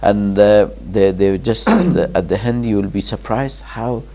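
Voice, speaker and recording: A man from Afghanistan answering interview questions as a guest, pausing and restarting often. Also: fairly low pitch, mellow. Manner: hesitant, many restarts and fillers, laughs in places. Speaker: guest, charity founder.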